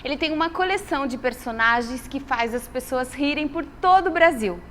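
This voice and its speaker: A woman's voice: sits high, lively intonation, a little fast, fairly bright tone, in a large hall, slight background noise. A woman hosting a web TV show, introducing and interviewing her guest. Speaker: TV show host. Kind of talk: interview host.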